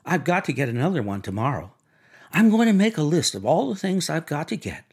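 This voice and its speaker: Male narrator as brassy social-climbing woman, raised pitch, swooping intonation, gushing, affected, excitable, storybook character. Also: fairly quick, husky, heavily acted. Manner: gushing, theatrical, breathless chatter. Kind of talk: audiobook character voice.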